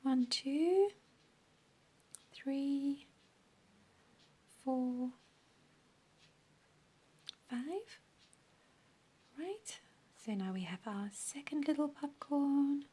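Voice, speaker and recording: Slow croaky woman teaching crochet. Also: high voice, warm tone. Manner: drops to a breathy half-whisper, counts stitches aloud. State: losing her voice, croaky. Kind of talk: step-by-step craft tutorial.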